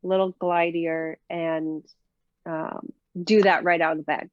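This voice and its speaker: A woman's voice: deep voice, level tone, unhurried, dry recording, phone-quality sound. A woman from Minnesota with a Minnesota accent, chatting casually in an interview with many fillers and easy laughs. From Minnesota, USA. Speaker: professional disc golfer, guest.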